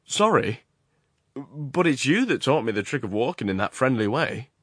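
A man acting a forceful, feverishly talkative ironworks man. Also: medium pitch, very animated, moderate pace, husky, no echo, studio quality, pitched above the performer's own voice. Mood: mock apologetic. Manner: loquacious, emphatic, abrupt commands. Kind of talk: dramatized storybook narration.

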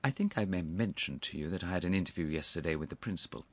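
A man voicing an affable, impudent young gentleman in a storybook, unflappable, courteous and easily conversational. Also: sits low, fast talker, no echo. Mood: cool, frank. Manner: smooth, courteous, easy conversational cheek. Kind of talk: audiobook character voice.

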